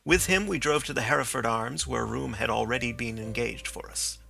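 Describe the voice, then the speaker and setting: A man reading a classic detective story aloud in a measured, even manner. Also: medium pitch, vocal fry. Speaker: audiobook narrator. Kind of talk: scripted narration.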